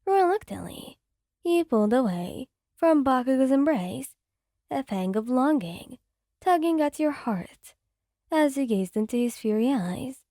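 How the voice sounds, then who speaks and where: A woman narrating a romantic fanfic as an ASMR reading, in a soft, gentle storytelling voice into a close mic. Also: high-pitched, lively intonation, unhurried, no room sound.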